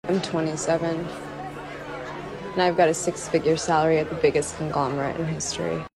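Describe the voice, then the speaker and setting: A woman's voice: deep voice, flat delivery, brisk, crowd noise. Female film clip line, confident and matter-of-fact, urban noise behind.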